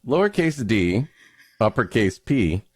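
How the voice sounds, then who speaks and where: A man's voice: lowish voice, big pitch swings, takes it slowly, husky, no room sound. A man hosting a comedy podcast, mixing casual joking banter with mock-florid, dramatic reading of a story.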